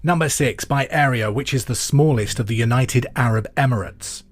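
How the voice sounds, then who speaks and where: A man hosting a pub quiz podcast, reading questions and answers in a clear, deliberate way with casual asides. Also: mid-range voice. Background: music under the voice.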